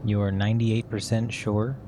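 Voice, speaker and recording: A man's voice: on the low side, flat delivery, a little slow, mellow, natural voice. Actor as cautious, anxious man, noisy background. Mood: guarded. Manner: hesitant, defensive. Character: cautious, anxious amateur hacker out to recover his money. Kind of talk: naturalistic fiction podcast acting.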